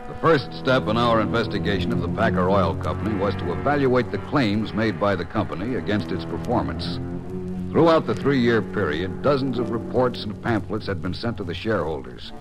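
Male actor as American government investigator, low pitch, formal, matter-of-fact, old radio crime narration, music underneath. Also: lively intonation, moderate pace, natural voice, poor audio. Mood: sober, official. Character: sober, official male FBI investigator narrating case. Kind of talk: scripted 1940s-50s radio crime narration.

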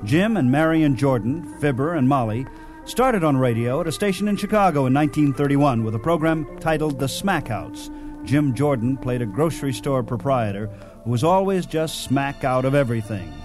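A man hosting a radio program, reading scripted narration in a measured announcer's delivery. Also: mid-range voice, lively intonation. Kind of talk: scripted narration, old-time radio presentation. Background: music.

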